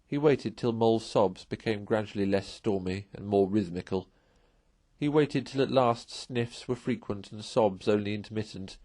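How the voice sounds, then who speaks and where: A man reading a storybook aloud as narrator, in an expressive storytelling style. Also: lowish voice, moderate pace, no room sound. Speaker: audiobook reader.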